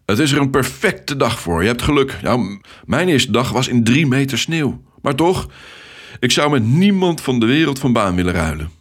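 A man voicing a cheerful, energetic, talkative male traffic controller in a children's story, with a hearty, encouraging manner. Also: mid-range voice, normal speed, voice pushed up.

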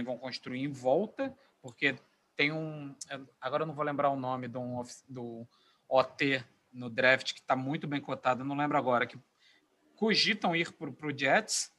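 A man's voice: on the high side, a bit bright. A Brazilian man giving casual, slangy football predictions as a podcast panelist. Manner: informal, colloquial, slangy.

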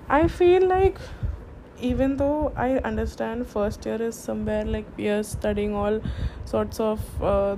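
Young woman, hushed late-night ramble, slightly high, unhurried, noisy hiss behind. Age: young adult. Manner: hushed, rambling, many fillers and restarts. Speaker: vlogger. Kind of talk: late-night casual chat. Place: home at night.

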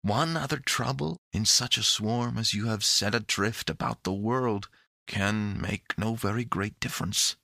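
A man's voice: on the low side, measured pace, vocal fry, bright, forceful tone, dry recording, clean studio sound, heavily acted. Man playing a sulky, grumbling storybook boy. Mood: sulky, grudging. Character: sullen, grudging young boy in a storybook. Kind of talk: storybook character voice.

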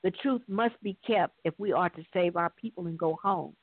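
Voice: mid-range voice, moderate pace, husky, dry recording. Narrator reading as young warrior queen, solemn, regretful, resolute, story heroine, narrow dry phone recording. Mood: solemn resolve. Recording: thin, dry phone-line sound.

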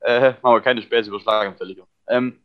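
A man's voice: medium pitch, fairly even intonation, fast. A young man co-hosting a podcast over an internet call, bantering casually with friends in joking, colloquial slang. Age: young adult. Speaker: podcast co-host. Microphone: online call. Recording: dull lo-fi internet call audio.

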